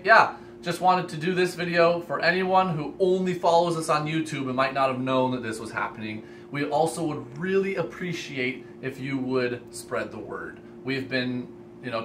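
Male vlogger, 20s-30s, eager and sincere, bright tone, filmed indoors with some background noise. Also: medium pitch. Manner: enthusiastic, earnest. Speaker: host, reptile expo organizer. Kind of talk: casual vlog announcement to camera. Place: indoor room lined with reptile racks. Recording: steady ambient room noise.

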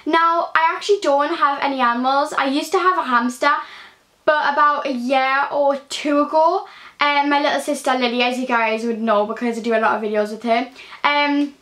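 A teenage girl from the North East of England speaks in a broad Geordie accent. Her voice sits high, and she rambles cheerfully, filling her answers with "um" and "like". She is recorded up close on a phone in a small bedroom. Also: normal speed, pushed and bright. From Newcastle, England. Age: early teens. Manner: chatty, many ums and likes, gestures while talking. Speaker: beauty vlogger. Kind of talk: casual Q&A vlog. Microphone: phone camera at close range.

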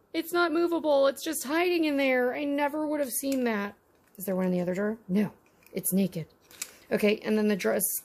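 High-voiced woman with a creaky edge. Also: darkish tone. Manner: gushing, easily delighted. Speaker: host of a miniature toy unboxing. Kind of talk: casual unboxing commentary.

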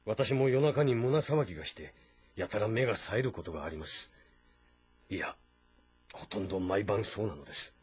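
A man's voice: on the low side, animated, normal speed, long pauses, husky, soft background music. A man playing a thoughtful, weary military strategist who speaks politely and reflectively in a historical drama. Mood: pensive.

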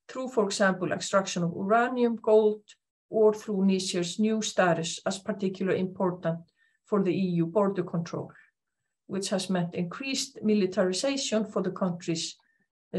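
A middle-aged woman with an Icelandic accent, presenting an academic lecture in a measured, thoughtful way with frequent pauses. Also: medium pitch, a little mellow. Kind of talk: recorded conference lecture over slides.

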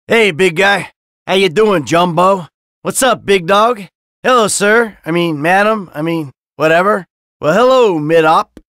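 An actor playing a weary, sardonic, hot-tempered middle-aged American criminal in a video game, grumbling and foul-mouthed. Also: male voice, sits high, a bit bright, dry recording, studio quality, full character voice. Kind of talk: acted video game voice lines.

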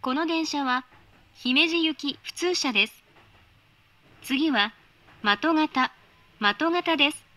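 High, bright automated train announcer woman. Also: no echo. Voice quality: polite, measured, formal.

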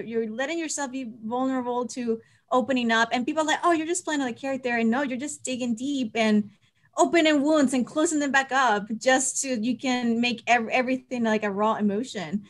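A woman's voice: a little high, brisk. A woman in her twenties talking casually and personally on a video call, earnest and heartfelt. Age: 20s. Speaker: amateur stage actor. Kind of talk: personal, casual panel talk. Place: indoor room on a video call.

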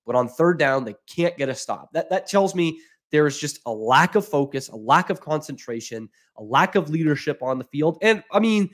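A young man hosting a live postgame sports podcast, ranting in an exasperated, sarcastic way and talking straight to his viewers from a small room at home into a desk microphone. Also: on the high side. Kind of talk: postgame analysis, casual rant. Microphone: desk microphone in front of him.

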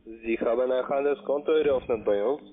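A man's voice: medium pitch, brisk, a little hiss or hum. Salesman on tinny phone line. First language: not German. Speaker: call centre account manager. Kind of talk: scripted phone sales pitch.

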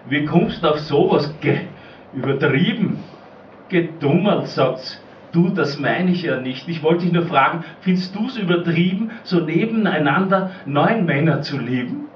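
Lively man performing slam poetry. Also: fairly high pitch, unhurried. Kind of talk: dialect spoken-word recitation. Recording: roomy, distant, muffled live recording, faint noise.

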